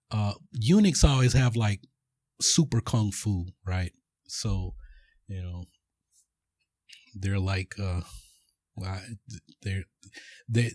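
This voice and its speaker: A man's voice: on the low side, mellow, no room sound. A man hosting a podcast, casually retelling a film plot in a rambling way with lots of fillers and restarts. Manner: rambling, filler-heavy storytelling. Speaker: podcast host.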